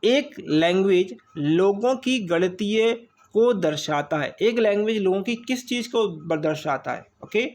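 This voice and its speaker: A man's voice: sits high, lively intonation, unhurried, hardly any pauses, darkish tone. A man with an Indian accent teaching an informal online quiz lesson, repeating key phrases and dropping in short check words. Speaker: online exam-prep teacher. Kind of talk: informal lesson going through multiple-choice questions.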